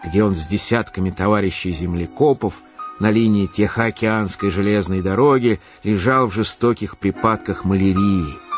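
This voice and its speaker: An actor narrating a Russian literary radio play as an expressive, measured male storyteller. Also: on the low side, close to an everyday voice.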